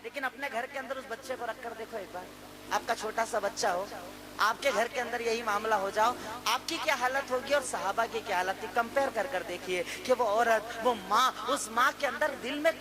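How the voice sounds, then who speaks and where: A man's voice: extremely high pitch, moderate pace. A man preaching an impassioned sermon, building to exhortation with repeated rhetorical questions and an Indian Urdu accent. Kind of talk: Islamic sermon in Urdu-Hindi.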